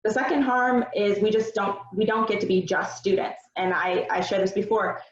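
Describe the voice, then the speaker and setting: A young adult woman giving an earnest, measured seminar presentation with frequent filler words. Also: medium pitch. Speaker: research presenter. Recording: roomy, dull lo-fi sound.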